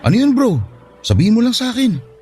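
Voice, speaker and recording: A man's voice: very high voice, big pitch swings, a little fast, warm tone, faint music behind. A man playing a Filipino ship worker who misses his family, speaking sincerely and emotively in an audio drama. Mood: elated, grateful. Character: downhearted, grateful male Filipino ship worker missing family.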